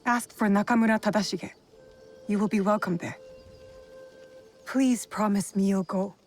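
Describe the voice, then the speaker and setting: A woman's voice: mid-range voice, fairly even intonation, moderate pace, faint music behind, natural voice. An actress playing a determined young female warrior in a video game, blunt but compassionate, with a direct, probing way of speaking. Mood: probing, softening. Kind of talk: dramatic video game cutscene acting.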